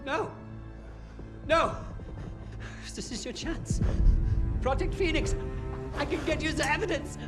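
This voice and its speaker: A man's voice: very high voice, takes it slowly, long pauses, music in the background. An actor playing a desperate captive man pleading in a video game cutscene. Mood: panicked, pleading. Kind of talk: cinematic video game acting.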